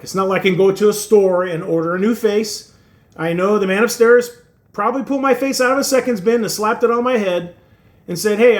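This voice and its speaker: A man in his sixties who grew up in Turkey and speaks Turkish natively, talking casually to camera as host of his own channel, rambling with lots of fillers and wry self-deprecating humor. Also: high voice. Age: 60s. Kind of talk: casual talking-head monologue.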